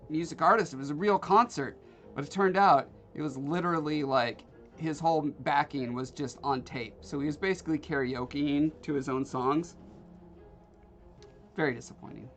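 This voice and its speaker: A man's voice: fairly high pitch, normal speed, fairly bright tone, faint music behind. A man from Alaska hosting a livestream, chatting casually and joking with his viewers while he carves. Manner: rambling, joking with live chat. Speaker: livestream host. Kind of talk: casual chat while carving.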